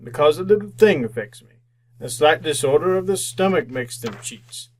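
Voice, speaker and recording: A man's voice: on the high side, animated, normal speed, darkish tone. A man playing a miserly, cantankerous old businessman in an old-time radio drama, with a grumbling, theatrical, sputtering delivery. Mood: skeptical, defiant.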